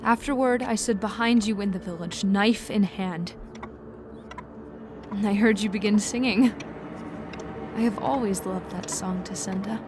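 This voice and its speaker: A woman's voice: medium pitch, unhurried, long pauses, natural voice. A woman playing an embittered, obsessive young villainess in a fantasy audiobook, intense and self-justifying. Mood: cold confession. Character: embittered, obsessive young woman, dangerous, power-hungry twin sister. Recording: clear ambient noise behind voice.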